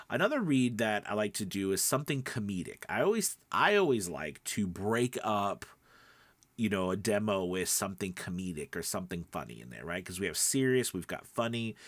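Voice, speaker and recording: A man's voice: fairly low pitch, a bit bright, dry recording. A man with a stuffed-up nose giving an informal, conversational lesson as a voice-over coach, speaking emphatically and often checking in with the listener. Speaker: voice-over coach and podcast host. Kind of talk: informal instructional talk to camera.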